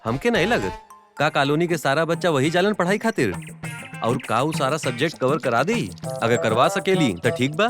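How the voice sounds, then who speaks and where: A man playing a busy, doting father in an animated cartoon, reasoning and placating, with a Bhojpuri accent. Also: on the high side, normal speed, over music, natural voice. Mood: doubtful.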